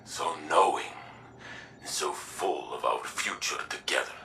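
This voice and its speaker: An actor playing a noble, warm-hearted robotic warrior in a video game, measured, earnest and solemn. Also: male voice, extremely low pitch, lively intonation, creaky, slight background noise, exaggerated voice. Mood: tender, reflective. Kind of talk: scripted video game character dialogue. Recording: faint music behind the voice.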